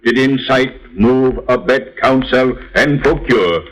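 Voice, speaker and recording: An actor playing a stern colonial court official in an old-time radio drama, solemn and declamatory. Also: male voice, medium pitch, slow, rough recording.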